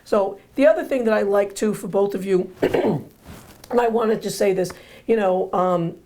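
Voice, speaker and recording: A woman hosting a casual interview, her voice hoarse and going, rambling and repeating herself with joking asides. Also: medium pitch, measured pace, warm tone. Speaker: host.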